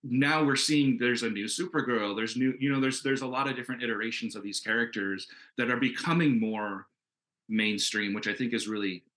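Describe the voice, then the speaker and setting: A man's voice: medium pitch, a little fast, a bit bright. A man speaking as a comics creator on a panel, casual and earnest, talking in an enthusiastic, rambling way.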